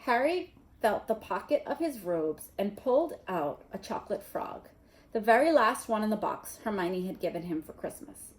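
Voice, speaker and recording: A woman reading a children's novel aloud in an expressive narrating style. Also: medium pitch, moderate pace, low-quality recording. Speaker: storybook reader.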